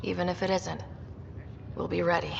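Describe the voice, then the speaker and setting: A woman's voice: fairly low pitch, steady tone, moderate pace, gravelly, slight background noise. An actress playing a young female space explorer in a sci-fi game, casual, wry and full of questions. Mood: wry, curious. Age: young adult. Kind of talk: sci-fi video game acting. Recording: muffled, reverberant, music behind.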